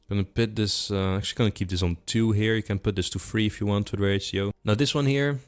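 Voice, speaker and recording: A man talks through a settings tutorial at a brisk clip, his voice sitting a little on the low side. He stays relaxed and chatty and speaks directly to the viewer. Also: smooth. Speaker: tutorial presenter. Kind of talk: explaining audio settings step by step.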